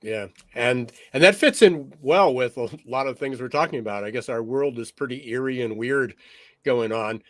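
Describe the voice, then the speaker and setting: A man co-hosting a podcast, a little raspy today, talking loosely with many fillers and restarts. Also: mid-range voice, normal speed, dry recording. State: slightly raspy from smoky air. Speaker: podcast co-host. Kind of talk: conversational podcast monologue.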